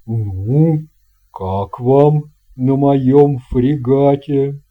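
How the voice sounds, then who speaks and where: A man voicing a courteous, dignified steamship captain in an audio storybook, speaking in a formal, measured way. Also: mid-range voice, narrow, tinny audio. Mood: courteous, inviting. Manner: formal, measured, weighty delivery.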